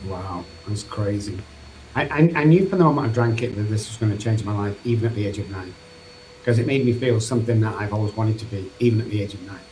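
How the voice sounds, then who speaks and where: A man's voice: lowish voice, quick pace, a little mellow, poor audio. Mancunian man telling his recovery story. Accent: Mancunian English. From England. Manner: earnest, loose storytelling with restarts. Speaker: podcast guest. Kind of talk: candid personal recovery story. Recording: faint background noise.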